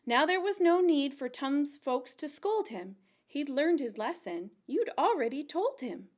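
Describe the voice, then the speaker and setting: A woman with a high voice reads aloud for a children's storytime. Her pace is very slow and deliberate, with a sing-song, expressive storyteller delivery shaped for small listeners following a rhyming picture book. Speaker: children's librarian and storytime reader.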